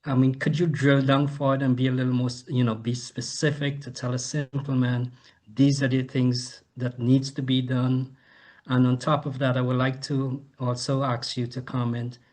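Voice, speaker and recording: A man moderates a panel discussion in a mid-pitched voice. He is conversational but formal in address, building up long, probing questions. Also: flat delivery, moderate pace, a little mellow. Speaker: host, moderator.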